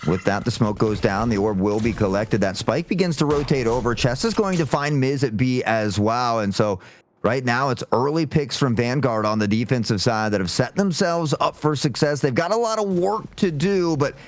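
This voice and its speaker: A man working as an esports caster, calling a live match play by play in a measured, orderly way. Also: medium pitch.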